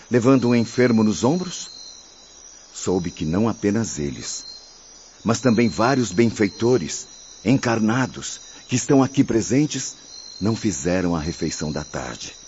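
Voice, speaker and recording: An actor playing a friendly, compassionate spiritual visitor in a radio drama. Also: male voice, lowish voice, animated, moderate pace, darkish tone, natural voice. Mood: compassionate, grave. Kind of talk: Brazilian radio drama acting. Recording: dull lo-fi, slightly noisy.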